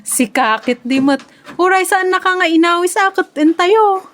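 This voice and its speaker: Audio drama friend played by a woman: high-pitched, Ilocano-accented, matter-of-fact, agreeable, recorded very dry. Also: expressive, moderate pace, fairly bright tone, no room sound. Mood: dismissive. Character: easygoing, practical female village friend. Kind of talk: comedy audio drama acting.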